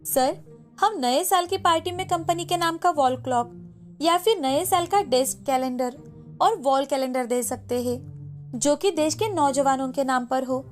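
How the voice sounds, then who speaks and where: A woman performs an earnest young woman, a respectful daughter from a cartoon moral story. Her voice sits very high and stays courteous and eager. Music plays underneath. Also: a little slow. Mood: polite, earnest. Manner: polite, earnest. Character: eager, respectful young daughter, bright student and office worker.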